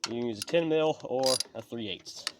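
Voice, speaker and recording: A man's voice: mid-range voice, moderate pace. Folksy man, sniffly, noisy backdrop. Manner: plainspoken, folksy, step-by-step. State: sniffly from allergies. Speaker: channel host. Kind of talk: casual how-to repair walkthrough.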